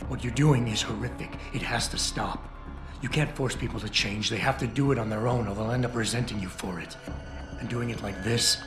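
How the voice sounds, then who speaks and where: A man's voice: medium pitch, steady tone, a little fast, lightly acted. Man playing stern game hero over music. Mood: stern appeal. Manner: terse, intense, accusing. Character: stern, indignant male action hero confronting a villain. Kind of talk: video game cutscene acting. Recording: roomy game audio with clear music.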